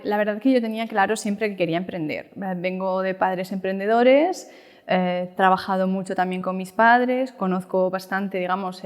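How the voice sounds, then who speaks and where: A thirty-year-old woman from Spain with a Spanish accent, chatting casually about her experience as an interview guest. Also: mid-range voice. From Valencia, Spain. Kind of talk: casual chat, explaining personal experience. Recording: echoey room with long reverb.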